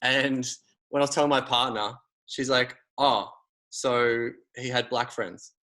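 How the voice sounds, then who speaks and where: A man's voice: mid-range voice, steady tone, measured pace, bright, forceful tone. A man, hosting a casual conversation and rambling with many fillers and restarts.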